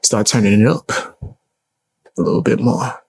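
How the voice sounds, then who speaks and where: A young man reacting on a live stream in casual, blunt, mocking talk, with a low voice and frequent swearing. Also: animated, normal speed, a little mellow. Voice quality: low gravelly voice.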